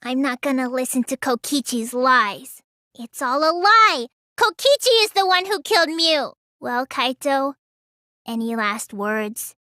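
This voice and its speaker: A woman's voice: high-pitched, very animated, a little slow, sharp tone, heavily acted. A woman voicing a petite, whiny teenage girl mage in a video game, with a childlike voice and a sluggish manner. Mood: matter-of-fact, then indignant, flustered. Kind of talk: English video game voice acting.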